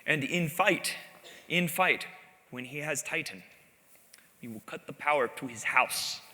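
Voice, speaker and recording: A man putting on a gruff Russian-accented boss. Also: a little high, unhurried, bright, forceful tone, voice pushed up. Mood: menacing, insistent. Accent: Russian, put on badly. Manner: blunt, insistent, broken grammar. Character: gruff male alliance leader with a threatening tone. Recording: long reverb in a large hall.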